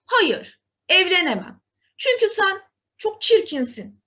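A gentle young fairy-tale heroine, voiced by a woman reading a storybook. Her voice is high and swings widely in pitch. She speaks earnestly and emphatically, full of feeling. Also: very animated, slow pace, heavily acted. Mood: firm refusal.

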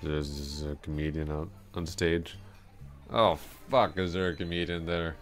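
A man acts out a drunken male coworker with a crush, in a very deep, slow-moving voice. He rambles and repeats himself, bashful and self-deprecating, in an intimate ASMR roleplay. Faint music plays behind him. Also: fairly even intonation. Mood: giddy, rambling.

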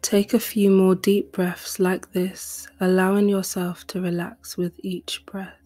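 A woman reading a guided meditation in a soft, breathy, gentle and soothing voice. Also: medium pitch, little pitch movement, unhurried. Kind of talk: guided meditation, scripted narration. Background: music.

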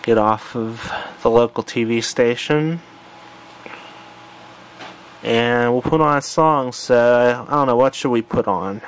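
A man casually demonstrating a home electronics project, unhurried and thinking aloud with fillers, filmed on a handheld camera in a room at home. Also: mid-range voice, a little hiss or hum, poor audio. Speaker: tutorial presenter. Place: home room with old radio.

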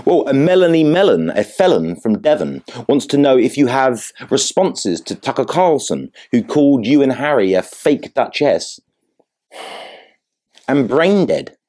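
A man's voice: mid-range voice, big pitch swings, unhurried, dark tone. A man playing a pompous, sardonic interviewer in a satirical mock interview, with florid, needling delivery. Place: furnished sitting room indoors. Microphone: lapel.

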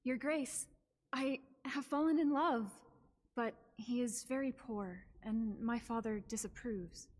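A woman playing an earnest, lovestruck young woman in an animated fairy tale. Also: on the high side, takes it slowly. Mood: earnest, anxious. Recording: long hall reverb.

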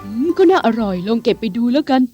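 A woman's voice: fairly high pitch, very animated, quick pace, highs cut off. A woman voicing an easygoing, friendly companion in an educational children's cartoon, over music. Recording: music behind the voice.

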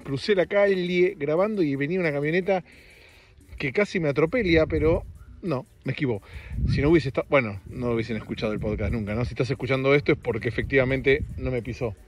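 A middle-aged man with an Argentine accent and a slightly raspy voice, hosting a casual, rambling podcast in a joking, self-deprecating way. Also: mid-range voice, fast, muffled recording, faint music behind. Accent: Argentine Spanish. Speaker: podcast host. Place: outdoors on a street.

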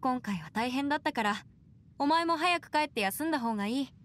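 A woman's voice: high-pitched, expressive, fairly quick, a bit bright. A woman playing a caring, confident young woman in an anime game, with a friendly take-charge manner. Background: music.

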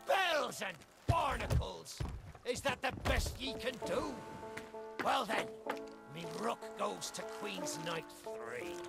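An actor playing a sly, boastful cursed skeleton pirate captain in a video game, with a gravelly, theatrical West Country pirate drawl. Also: male voice, high voice, exaggerated voice. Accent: stage pirate West Country English. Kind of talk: video game character acting. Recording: loud game music behind the voice. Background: loud music.

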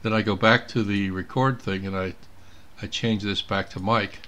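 A man's voice: on the low side, steady tone, normal speed, noisy background. A man giving a casual screen tutorial as an amateur radio operator, thinking aloud as he works. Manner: thinking aloud while working. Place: indoors at a computer.

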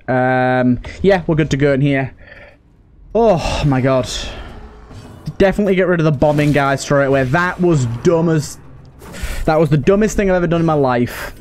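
A man's voice: a little high, moderate pace, a little mellow, a little hiss or hum. A man giving casual let's play commentary as a gaming host, talking to himself and to the characters on screen. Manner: talks to himself and to game characters.

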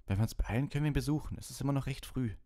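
A man's voice: mid-range voice, very fast, dark tone, voice pushed up, lightly acted. A man voicing a loyal, grumbling schoolboy in a storybook, with a casual, emphatic way of talking. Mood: eager.

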